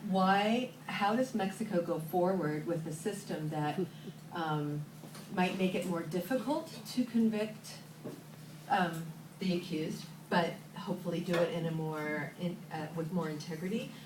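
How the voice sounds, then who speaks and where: A woman's voice: on the low side, a little slow. Woman asking a question in an echoey hall. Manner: lively, loud and searching. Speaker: audience member asking a question. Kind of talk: public Q&A question. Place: university auditorium. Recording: echoey hall, far handheld camera, noisy.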